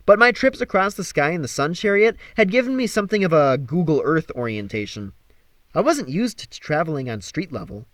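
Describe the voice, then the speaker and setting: A man reading a fantasy novel aloud as an expressive, theatrical audiobook narrator. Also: fairly high pitch, no echo. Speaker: audiobook reader.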